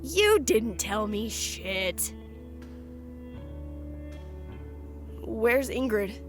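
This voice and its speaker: Drama role: a sardonic female agent, unhurried, swinging intonation, casually profane, faint music behind. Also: a little high. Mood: bored, sardonic. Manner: terse, deadpan, casually profane. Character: blunt, sardonic, easily bored female agent relearning to write. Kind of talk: naturalistic audio drama dialogue.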